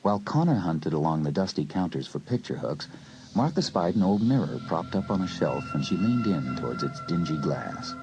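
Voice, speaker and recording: A man's voice: low voice, very animated, moderate pace, over music, rough recording. A man narrating a scripted suspense story as an audiobook narrator.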